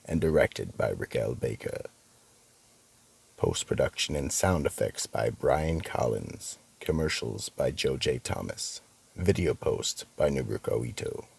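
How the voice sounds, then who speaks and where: A man hosting and narrating scripted horror stories in a theatrical, ominous manner, with a very deep, gravelly, husky voice. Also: darkish tone. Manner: slow, ominous, dramatic. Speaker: narrator and host.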